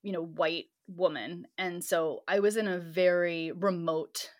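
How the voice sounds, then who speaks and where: A woman's voice: lowish voice, fairly even intonation, a little slow. Reflective woman, laughs lightly while talking. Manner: thoughtful, laughs often between phrases. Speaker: pastor and scholar. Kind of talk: interview answers.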